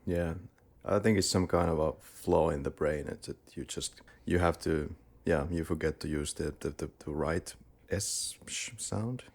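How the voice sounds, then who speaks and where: Finnish man, podcast co-host: very deep, fry-heavy voice, playful and unhurried. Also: darkish tone. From Finland. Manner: playful, teasing questions. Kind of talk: casual chat.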